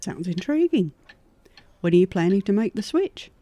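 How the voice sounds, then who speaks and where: Woman acting a mellow, folksy frontier gal. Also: medium pitch, very animated, normal speed, natural voice. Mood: upbeat, curious. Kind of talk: audio horror-comedy acting.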